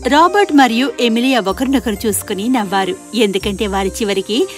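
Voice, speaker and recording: Lively, high-voiced woman storyteller. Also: brisk, over music. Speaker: narrator. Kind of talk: scripted children's story narration.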